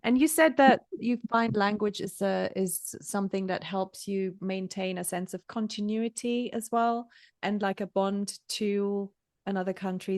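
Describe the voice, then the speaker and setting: A woman hosts a podcast interview, talking in a reflective, personal way and often pausing to find her words. The recording is dry and close, with no room sound around her voice. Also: medium pitch, dry recording. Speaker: podcast host. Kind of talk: casual interview conversation.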